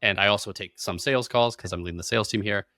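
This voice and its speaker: A man's voice: fairly low pitch, fast talker, bright, forceful tone, dry recording, clean studio sound. A man hosting a podcast, chatting casually and agreeably in an informal business interview. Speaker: podcast host.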